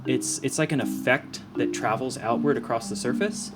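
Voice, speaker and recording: Guy doing a relaxed informal demo explainer, keeps checking you follow, loud plucky guitar music under him. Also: mid-range voice, normal speed. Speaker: audio professional explaining how speakers work. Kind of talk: casual hands-on explainer. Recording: music playing behind the voice.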